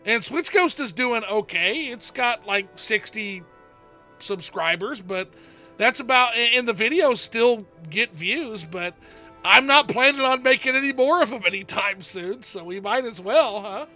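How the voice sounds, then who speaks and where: Male streamer host, high and very bright voice, loose rambling chat. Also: lively intonation, measured pace, faint music behind. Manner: rambling, reads out viewer chat and answers it. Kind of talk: casual livestream chat about games.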